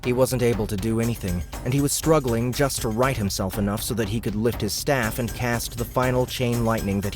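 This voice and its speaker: A man reads an audiobook in a steady, measured narrating voice. His voice sits low, and clear music plays underneath throughout. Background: background music.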